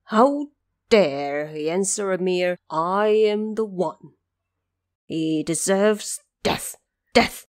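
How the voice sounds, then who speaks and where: Lady doing a snooty outraged court minister of heaven, really animated, audio drama. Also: on the low side, very slow, no echo, studio quality. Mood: shocked outrage. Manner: outraged, pompous. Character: scandalised, haughty divine court ministers.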